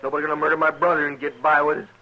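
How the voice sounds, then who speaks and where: A man's voice: a little high, monotone, racing, natural voice. An actor playing a grieving, hot-tempered frontier man with a rural American western accent in a radio drama, folksy and insistent. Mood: angry, demanding. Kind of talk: 1950s radio acting. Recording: muffled old radio recording.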